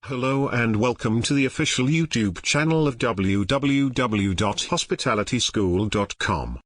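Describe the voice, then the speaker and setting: A man's voice: mid-range voice, normal speed, fairly bright tone, dry recording. Dry-sounding man reading a scripted intro. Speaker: channel intro announcer.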